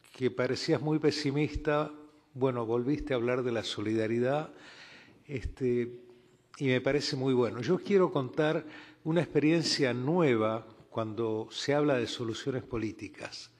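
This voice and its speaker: Argentine man, ambassador's floor remarks, unhurried and reflective, roomy hall sound. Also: medium pitch. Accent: Argentine Spanish. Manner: measured and reflective, with frequent pauses and fillers. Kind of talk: formal conference remarks. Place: large conference hall. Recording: reverberant conference hall.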